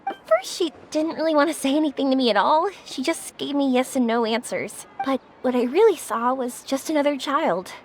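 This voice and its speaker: A woman playing a cheerful, chatty, warm-hearted young traveler in a video game, bubbly and encouraging. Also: high voice, animated, fairly quick, hardly any pauses, a little hiss or hum. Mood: thoughtful, chatty. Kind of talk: scripted video game story dialogue. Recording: studio voice over background music.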